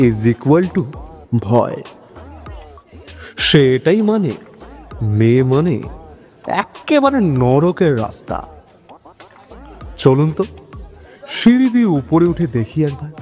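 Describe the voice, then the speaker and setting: A man with a West Bengal accent narrating a scripted love story, expressive and conversational, speaking straight to listeners. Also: fairly high pitch. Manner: expressive, conversational, with rhetorical questions. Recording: long reverb with music behind.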